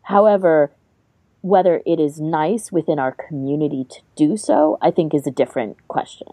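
A woman's voice: low-pitched, moderate pace, mellow, dry recording. A woman co-hosting a podcast in a casual discussion, thinking aloud in an informal way. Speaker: podcast co-host.

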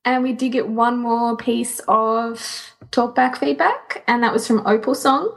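A woman's voice: a little high, measured pace, gravelly. A woman co-hosting a podcast with casual, playful, teasing talk. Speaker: podcast co-host.

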